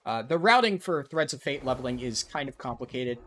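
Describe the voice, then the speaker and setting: A man's voice: mid-range voice, animated, fairly quick, a bit bright, slight background noise. A man live-streaming a game, chatting with viewers in a casual way with lots of ums and likes. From United States. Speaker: livestreaming gamer.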